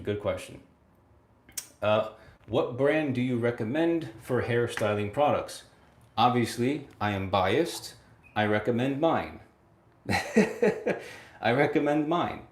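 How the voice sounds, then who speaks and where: A man's voice: mid-range voice, animated, slow, long pauses, reverberant. A man in his late twenties hosting a casual livestream, answering viewer comments, laughing often and tagging his sentences with "right?". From United States. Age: 20s. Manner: laughs often, chatty, repeats phrases, tag "right?". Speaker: livestream host.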